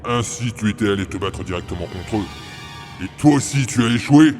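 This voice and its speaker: Guy doing cold evil mastermind, low voice, condescending, audio drama, bit of music under. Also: lively intonation, normal speed, a little mellow, in a large hall, natural voice. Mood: cold, menacing. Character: cold, scheming villain master, menacing and condescending. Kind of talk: amateur audio drama acting. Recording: faint music behind the voice.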